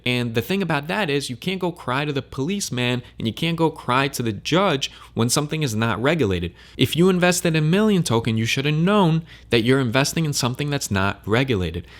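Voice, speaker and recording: A man's voice: medium pitch, expressive, brisk, hardly any pauses. Male commentator, opinionated and emphatic, direct talk to camera. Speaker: crypto commentator.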